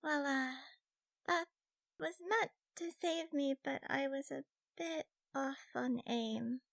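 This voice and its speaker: Man putting on a high, flustered young fox girl. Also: slow, gravelly, a bit bright, exaggerated voice. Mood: sheepish. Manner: nervous, stammering, cutesy. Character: shy, feisty young fox girl gamer, easily flustered.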